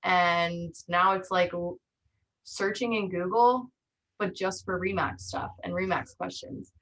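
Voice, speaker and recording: A woman's voice: fairly low pitch, steady tone, measured pace, fairly bright tone, poor audio. A woman chatting in an encouraging, informal way as co-host of an online team meeting. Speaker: real estate trainer co-hosting a meeting.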